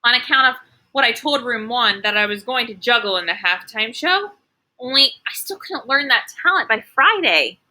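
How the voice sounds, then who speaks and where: A woman's voice: a little high, expressive, normal speed, sharp tone, voice pushed up, rough recording. A woman voicing an earnest, anxious first-grade girl telling her own storybook tale in an emphatic, childlike way. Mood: earnest, worried. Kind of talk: children's storybook read-aloud.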